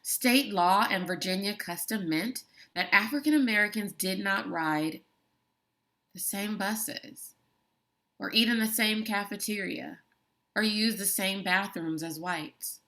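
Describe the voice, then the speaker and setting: A woman teacher reads a book chapter aloud for her students at a measured, deliberate pace. She stresses important words and now and then breaks off for a brief comment of her own. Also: medium pitch, bright, forceful tone. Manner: slow, deliberate reading with emphatic words and brief asides. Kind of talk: classroom read-aloud of a book.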